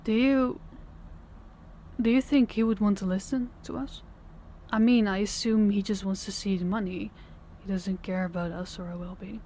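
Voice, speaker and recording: A young woman playing a worn-out, loving girlfriend who talks softly and hesitantly, often stumbling over her words. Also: mid-range voice, steady tone, moderate pace, a little hiss or hum, lightly acted. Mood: weary tender. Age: young adult. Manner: soft, hesitant, stammering restarts. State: exhausted. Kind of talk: audio roleplay acting.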